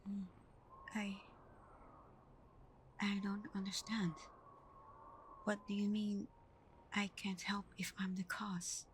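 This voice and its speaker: Woman acting as a devoted vampire girlfriend, ASMR roleplay, breathy half-whisper, even pitch, gentle halting delivery, some background noise. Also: lightly acted. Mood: puzzled, concerned. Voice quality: soft, breathy, often whispered. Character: tender, guilt-ridden vampire girlfriend, soothing and devoted.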